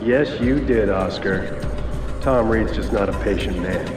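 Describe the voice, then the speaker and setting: A fairly low colonel voiced by a man, calm and dismissive, in a game cutscene. The voice rings with long reverb under loud music. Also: unhurried, far from the mic, close to an everyday voice. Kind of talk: video game voice acting.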